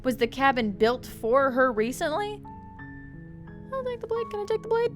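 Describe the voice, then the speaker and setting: A woman's voice: high-pitched, big pitch swings, moderate pace, soft background music. A young adult woman streaming a game with casual commentary, rambling and joking with stammering restarts.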